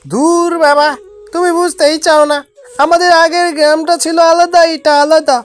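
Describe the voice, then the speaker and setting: A woman's voice: very high voice, little pitch movement, moderate pace. A woman voicing a stubborn, eager young village boy in a cartoon, pleading and petulant. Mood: sulky. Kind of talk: amateur cartoon voice acting. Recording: loud music behind the voice.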